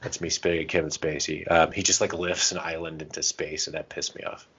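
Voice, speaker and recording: A man with a very low voice chats casually as a podcast co-host. He is irreverent and pokes fun at himself. The audio is a little dull and lo-fi. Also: flat delivery, a little fast, a bit bright, husky. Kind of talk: casual chat.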